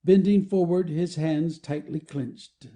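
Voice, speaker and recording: A man's voice: on the high side, slow, mellow. A man reading stage directions as scripted narration in an audio play.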